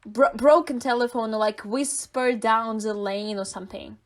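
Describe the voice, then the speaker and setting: A woman with a Russian accent, a native Russian speaker, co-hosting a podcast in casual chat, thoughtful and pausing with fillers as she searches for words. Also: a little high, steady tone. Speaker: podcast co-host.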